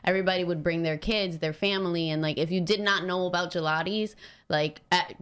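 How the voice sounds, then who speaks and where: A woman from New Jersey hosts a casual interview in a low, smooth voice. She speaks with enthusiasm and fills her sentences with loose, chatty fillers. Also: steady tone, brisk, fairly bright tone. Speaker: host.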